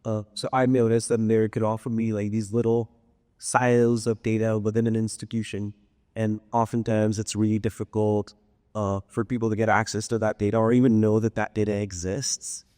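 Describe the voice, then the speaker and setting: A man's voice: lowish voice, level tone, normal speed, darkish tone. A man politely and tentatively putting a question to a conference speaker from the audience. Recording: reverberant hall sound.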